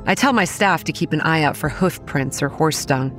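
An actress playing a haunted young woman, narrating childhood horrors in a solemn, deliberate first-person storytelling style. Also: low voice, expressive, soft background music. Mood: somber.

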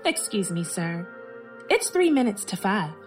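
Animated, exasperated shop woman. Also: mid-range voice, unhurried, faint music behind. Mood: strained politeness. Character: exasperated, blunt, protective female bookstore worker. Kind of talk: radio drama dialogue.

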